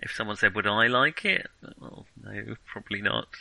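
A man's voice: on the low side, moderate pace, vocal fry, pushed and bright, dry recording. A man co-hosting a podcast in casual film discussion, speaking informally with a wry, qualifying manner. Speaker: podcast co-host.

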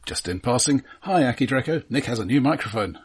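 A man co-hosting a podcast, discussing films casually in a measured, analytical manner. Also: mid-range voice, expressive, husky. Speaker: podcast co-host.

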